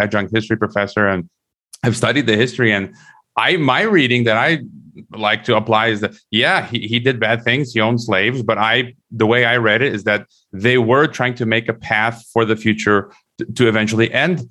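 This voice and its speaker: A man's voice: on the low side, fairly bright tone. A man hosting an interview from a room at home, often repeating words and restarting his sentences. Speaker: interview host. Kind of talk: interview discussion.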